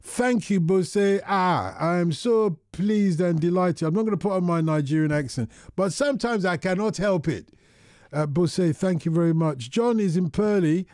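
A male radio host talks with callers in a relaxed, chatty way, musing aloud as he goes. His voice sits a little high but sounds mellow and warm. The studio mic is close and dry. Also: normal speed, no room sound. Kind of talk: casual radio chat with callers.

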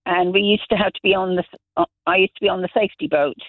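A man's voice: sits high, steady tone, fast talker, no room sound. A middle-aged man from Zambia, calling into a radio show and telling long stories with many restarts. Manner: rambling anecdotes, many restarts. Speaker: radio caller. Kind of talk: casual phone-in chat. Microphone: telephone. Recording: tinny dry phone line.

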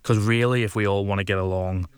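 Young male comic, low voice, dry self-mocking storyteller working a live room. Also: fast talker. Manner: self-deprecating, deadpan asides. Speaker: stand-up comedian. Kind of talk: stand-up storytelling with asides. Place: comedy venue with live audience.

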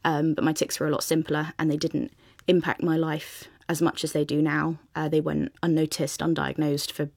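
A woman's voice: low-pitched, level tone, moderate pace, gravelly, a little mellow, slightly rough, dry recording, studio quality. A woman with a British accent tells her own story conversationally as an interview guest. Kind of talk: conversational personal account.

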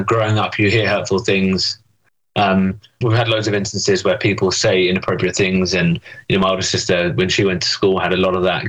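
A man's voice: fairly low pitch, a bit bright, poor audio. A young man, answering interview questions thoughtfully, with many fillers and self-posed questions. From England. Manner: thoughtful, many fillers and restarts, asks himself rhetorical questions. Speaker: interview guest. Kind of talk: reflective conversational interview.

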